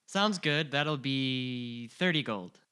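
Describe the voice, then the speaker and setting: A man's voice: medium pitch, expressive, takes it slowly, noisy background. A man voicing a young, earnest, absent-minded male shop clerk, hesitant and polite, in an amateur audio drama. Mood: agreeable.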